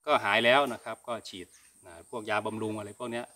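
A man's voice: fairly low pitch, steady tone, quick pace, sharp tone, a little hiss or hum. A middle-aged man from Thailand explaining things informally as a host answering viewer questions, with frequent polite particles. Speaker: cattle farming channel host. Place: open-sided cattle barn.

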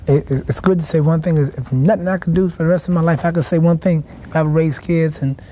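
A young man, a boxing champion answering in a TV studio interview, halting and restarting his words. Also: fairly high pitch, fairly even intonation, warm tone. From South Carolina, raised in Washington, D.C. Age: young adult. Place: TV studio set.

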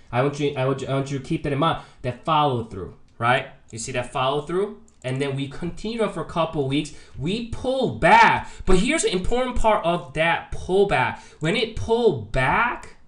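A man's voice: on the high side, fairly bright tone. A man giving informal, emphatic market chart commentary with rhetorical check-in questions, his voice slightly congested from a sore throat and sinus trouble. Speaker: market analyst and host of a trading update. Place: voice-over on a screen recording.

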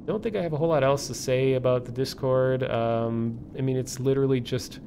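A man chatting casually as a streamer, rambling and halting as he thinks aloud. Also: vocal fry, mellow. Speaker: streamer and online community host. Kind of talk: casual livestream chat. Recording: low rumbling background noise.

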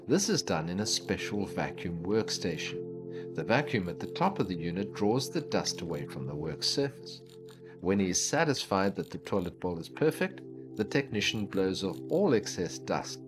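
A man giving scripted documentary narration about a factory in a measured, explanatory delivery. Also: low-pitched, very animated. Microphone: studio voice-over. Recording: voice-over with clear background music.

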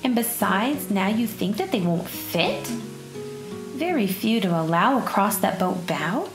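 Slow-paced woman reading a children's storybook. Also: medium pitch. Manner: expressive, measured storytelling. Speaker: storybook narrator. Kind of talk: children's picture book read aloud, rhyming. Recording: roomy echo, music behind. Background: plucked guitar music.

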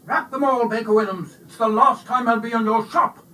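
A man voicing a bossy, bullying woman in a storybook, loud, demanding and snapping. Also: extremely high pitch, fairly quick, far from the mic, pitched above the performer's own voice, rough recording. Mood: angry shouting.

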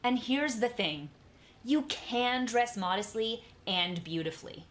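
A woman in her twenties giving earnest, measured advice straight to the camera from a room at home. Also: fairly high pitch, lively intonation, slight background noise. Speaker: YouTuber in clips. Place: small home room, indoors.

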